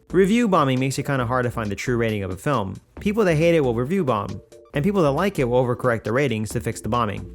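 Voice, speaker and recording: Lively male video essayist over music. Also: medium pitch. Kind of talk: scripted video essay narration, film criticism. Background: background music.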